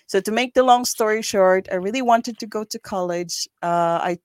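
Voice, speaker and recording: A woman's voice: mid-range voice, moderate pace, no room sound, clean studio sound. A woman from the Philippines in her thirties, a developer answering interview questions in an earnest, reflective way with light laughs. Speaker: podcast guest, developer.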